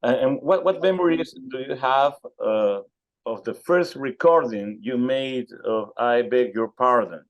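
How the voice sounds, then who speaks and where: A male radio interviewer with a mid-pitched voice and a Peruvian Spanish accent. He is a native Spanish speaker who talks conversationally and hesitantly, searching for his words. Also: a little slow, highs cut off. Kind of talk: conversational interview in a second language.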